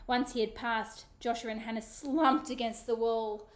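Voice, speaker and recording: A woman with a fairly high voice reads her own children's storybook aloud. Her narration is expressive and animated. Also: normal speed. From Australia. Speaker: children's author. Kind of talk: dramatic storybook reading.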